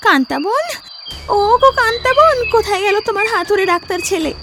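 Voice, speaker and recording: Furious neighbour woman: shrill, wildly swooping, accusatory cartoon delivery over music. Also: very animated, fast talker, heavily acted. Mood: angry, accusing. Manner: scolding, accusing. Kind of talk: cartoon dialogue.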